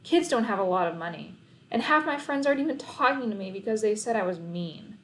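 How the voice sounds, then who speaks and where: A woman's voice: medium pitch, animated, a little fast, a bit bright, slight background noise, lightly acted. A woman voicing a stressed, bossy, studious schoolgirl in an emphatic, exasperated manner for a storybook. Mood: frustrated.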